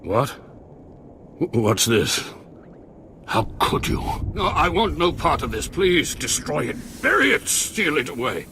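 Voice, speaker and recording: An actor playing a grave, anxious Sith lord scholar with a formal, theatrical manner and husky voice in a video game. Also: male voice, fairly high pitch, very animated, measured pace, pushed and bright, slight background noise, full character voice. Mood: alarmed. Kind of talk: video game cutscene acting.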